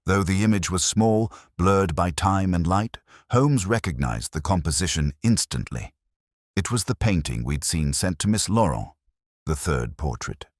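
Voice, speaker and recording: Very deep-voiced man narrating a story. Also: animated, a little slow, no echo, studio quality. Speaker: audiobook narrator.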